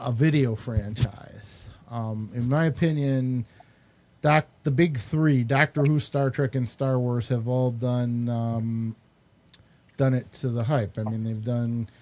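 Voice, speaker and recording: A male host talks at a slow, easy pace in a dark, mellow voice. He rambles casually, stopping often with ums and uhs as he chats with his panel. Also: mid-range voice. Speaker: show host.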